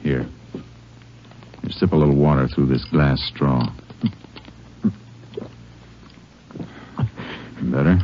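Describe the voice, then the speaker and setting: An actor playing a steady, laconic Texas Ranger in a 1950s radio drama, speaking with understated, gentle persistence. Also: male voice, a bass voice, normal speed, a little hiss or hum. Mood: gentle, patient. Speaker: lead actor and first-person narrator. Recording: dull old radio recording, music behind.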